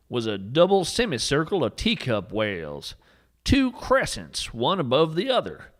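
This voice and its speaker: A man's voice: medium pitch, fairly bright tone. A man playing a folksy, whimsical naturalist adventurer, telling scripted tall tales in a wry, theatrical way for a podcast. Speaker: podcast narrator. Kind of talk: scripted first-person storytelling monologue.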